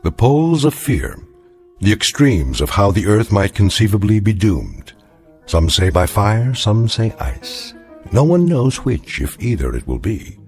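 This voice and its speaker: A man narrating a radio drama as a grave, knowing storyteller, measured and portentous with a wry edge. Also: lowish voice, a little mellow. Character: grave, knowing, ominous storyteller of strange tales. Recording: music under the voice.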